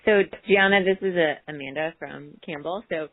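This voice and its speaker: A woman's voice: low-pitched, normal speed. A woman giving an informal, wordy account to colleagues on a conference call in a conversational way. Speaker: corporate philanthropy staffer on a call. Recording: muffled, band-limited conference call line.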